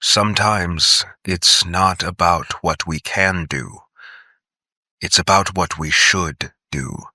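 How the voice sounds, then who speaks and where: A man's voice: sits low, takes it slowly, bright, forceful tone, dry recording, studio quality. A man reading a scripted story as a narrator, in audiobook style.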